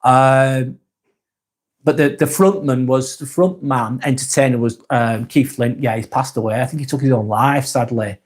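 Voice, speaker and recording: A man from Lancashire with a Lancashire accent, hosting a casual live stream and reading viewer comments aloud in a soft, hesitant way. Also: medium pitch, moderate pace. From Lancashire, England.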